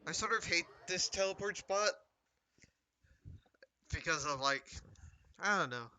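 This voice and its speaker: Guy streaming a game, kinda high sharp voice, just chatting while he plays. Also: animated, unhurried, clear, resonant tone. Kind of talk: casual live gameplay commentary.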